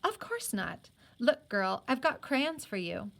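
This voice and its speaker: A woman's voice: on the high side, sing-song, takes it slowly, vocal fry, a bit bright, full character voice. Lady reading as young apprentice boy in a storybook, kind, eager, encouraging.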